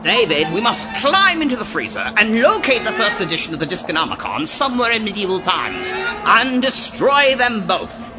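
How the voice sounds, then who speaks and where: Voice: medium pitch, sing-song, normal speed, close to an everyday voice. An actor playing a theatrical, pompous mystic doctor who senses occult anomalies in a comedic audio drama. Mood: urgent. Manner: theatrical, dramatic, swooping delivery. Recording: muffled lo-fi audio drama recording. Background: loud music.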